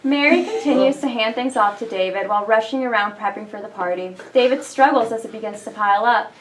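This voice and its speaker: A woman's voice: fairly high pitch, quick pace, poor audio. A woman reading scripted stage directions as narrator at a staged play reading. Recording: clear background noise.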